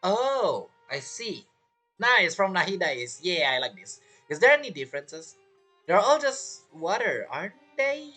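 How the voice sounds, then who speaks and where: A man giving casual, amused commentary as he plays through a story game, with halting phrasing. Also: sits high, very animated, bright, forceful tone. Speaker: gaming content creator playing through a story quest. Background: game music.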